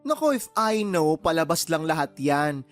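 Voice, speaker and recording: A meddling, flamboyant best friend for radio drama. The delivery is sassy and theatrical, with lively swings in pitch. Light music plays underneath. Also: male voice, moderate pace. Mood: teasing. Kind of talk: Tagalog radio drama acting.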